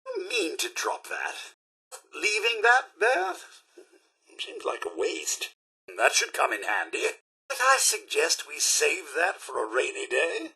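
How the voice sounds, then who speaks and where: British robot manservant, video game voice, bright and forceful, slightly rough-edged, prim, theatrical, chipper. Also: male voice, sits high, huge pitch swings, slow pace, heavily acted. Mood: fussy, concerned. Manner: polite, pompous, theatrical servant's phrasing. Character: prim, fussy, chipper male robot butler. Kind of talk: video game character voice lines.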